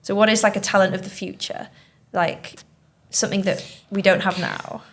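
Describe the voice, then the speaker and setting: A woman's voice: mid-range voice, normal speed, a little hiss or hum. A woman from Manchester with a Mancunian accent, a teacher hosting a school podcast and chatting encouragingly with pupils. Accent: Mancunian English. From Manchester, England. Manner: chatty, encouraging, lots of "like" and "okay". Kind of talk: casual interview chat with pupils.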